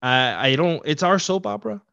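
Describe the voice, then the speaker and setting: A middle-aged man from Puerto Rico, a podcast guest telling stories in a relaxed, rambling way over a video call. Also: fairly high pitch, moderate pace, creaky, dry recording. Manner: easygoing, rambling storyteller. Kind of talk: casual interview chat. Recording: dull, dry video-call audio.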